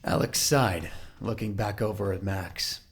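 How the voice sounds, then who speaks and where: A man's voice: on the low side, fairly even intonation, unhurried, soft background music. A man narrating an audio drama from a script.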